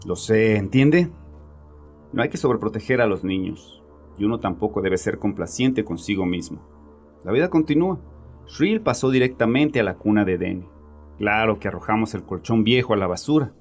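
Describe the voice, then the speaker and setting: A man's voice: on the low side, expressive, moderate pace, darkish tone, faint music behind. A man playing a bitter, domineering grieving father who confides in a therapist with agitated, sarcastic arrogance in a horror audio drama. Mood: agitated, self-justifying.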